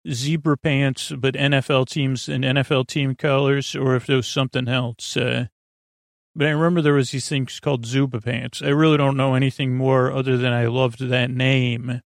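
A man hosting a sleep podcast in a meandering, halting, absent-minded monologue with a gravelly, creaky voice. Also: mid-range voice, flat delivery, no echo, clean studio sound. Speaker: podcast host.

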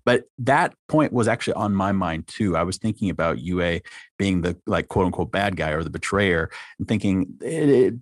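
A man's voice: low-pitched, lively intonation, normal speed, dry recording, clean studio sound. A man in a casual podcast conversation about a film, hedging his points as he talks. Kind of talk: casual film review discussion.

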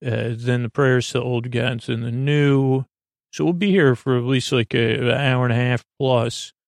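Male podcast host for a bedtime show: fry-laden voice, rambling and stumbling delivery, deadpan silliness, dry intimate recording. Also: mid-range voice, no echo. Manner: halting, meandering, stammering with many false starts. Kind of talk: rambling, whimsical sleep podcast monologue.

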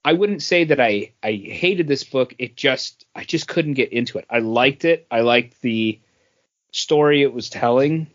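A man co-hosting a podcast, talking casually and haltingly as he gives a review. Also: medium pitch, muffled recording. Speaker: podcast co-host reviewing comics. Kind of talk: casual talk, book review.

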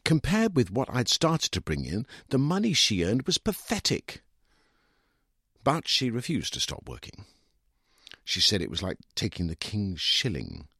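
A man reads literary fiction in the first person, his voice on the low side and slightly rough. The delivery swings widely, aggrieved and self-justifying with a dry edge. The recording is close and dry, with no room sound. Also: wildly swooping pitch, a little slow, a bit bright, dry recording. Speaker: short story narrator.

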